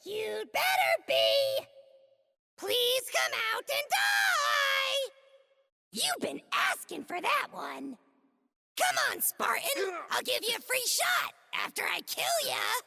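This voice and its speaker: A woman playing a small, cocky, aggressive alien foot soldier in a video game, squeaky and gravelly, taunting and boastful, with long reverb. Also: big pitch swings, slow pace, exaggerated voice. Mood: furious taunting. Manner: taunting, boastful, hot-headed, shouty. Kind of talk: video game combat barks.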